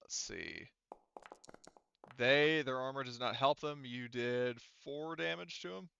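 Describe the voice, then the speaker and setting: Male game master, crisp and forward, dry close sound, improvising casually in halting bursts. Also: medium pitch, expressive, bright, forceful tone, no room sound, highs cut off. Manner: halting, thinking aloud, casual swearing. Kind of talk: running a tabletop roleplaying game, casual improvised narration.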